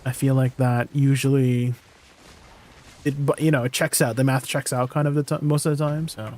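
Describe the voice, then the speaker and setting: A man's voice: medium pitch, fairly even intonation, normal speed, darkish tone. A man live-streaming, chatting casually with viewers and thinking aloud with lots of fillers and restarts.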